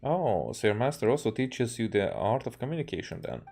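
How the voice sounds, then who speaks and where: A man, a game streamer reading dialogue aloud haltingly and correcting himself as he goes. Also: mid-range voice, big pitch swings, creaky, a little mellow. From Hungary.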